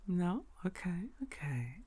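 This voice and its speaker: Slow, husky, teasing girlfriend played by a woman. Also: on the low side, sing-song, exaggerated voice. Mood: teasing, probing. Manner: slow, coaxing, teasing questions with soft chuckles. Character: tall dominant girlfriend, teasing, indulgent, coolly amused. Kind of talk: intimate ASMR roleplay, partly whispered.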